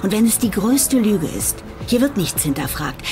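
An actress playing a domineering, brazen boss in a radio crime drama, blunt and commanding. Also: female voice, mid-range voice, very animated, moderate pace, over music. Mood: imperious, seductive.

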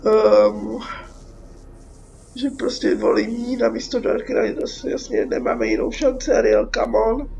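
A woman's voice: a little high, fairly even intonation, creaky, dark tone. A young Czech woman giving casual, sarcastic let's play commentary, informal and sweary. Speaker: gaming YouTuber. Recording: dull lo-fi mic with faint noise.

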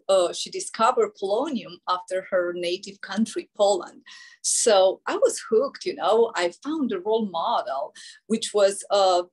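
A middle-aged woman from Romania with a Romanian accent, presenting on an online panel over a video call, with frequent hesitations. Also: medium pitch. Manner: frequent hesitations with many uh fillers.

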